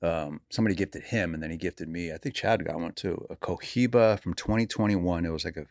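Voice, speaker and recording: A man's voice: low voice, brisk, a little mellow, dry recording, clean studio sound. A man hosting a podcast in casual, blunt, conversational talk. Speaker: podcast host. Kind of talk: casual chat.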